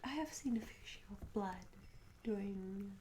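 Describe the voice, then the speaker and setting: A woman playing a curious, polite medieval tavern maid in an ASMR roleplay, speaking in a soft, breathy whisper with gentle, hesitant, old-fashioned courtesy. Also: medium pitch, measured pace, lightly acted. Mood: gentle, apologetic. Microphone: close ASMR microphone. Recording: close whisper over audible ambience noise. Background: candlelit tavern ambience.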